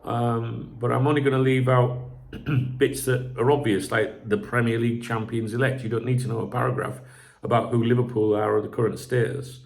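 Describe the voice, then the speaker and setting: A man's voice: medium pitch, steady tone, moderate pace. A man hosting a football channel, chatting casually and rambling. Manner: rambling, chatty, clears throat often, swears casually. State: sore throat, a bit croaky. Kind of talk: casual chat commentary.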